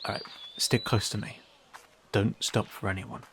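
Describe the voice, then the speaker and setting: A man's voice: lowish voice, level tone, moderate pace, gravelly, fairly bright tone, a little hiss or hum. A man playing a wary young male cat-person guide in a fantasy audio drama, speaking casually and hesitantly straight to the listener. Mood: guarded, urgent. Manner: hesitant, casual, conversational asides.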